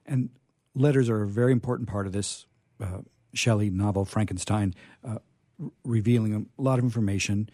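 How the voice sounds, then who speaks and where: A man hosting a casual literary discussion, explaining things in a halting, hesitant way. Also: on the low side, dark tone, dry recording, studio quality. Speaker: host.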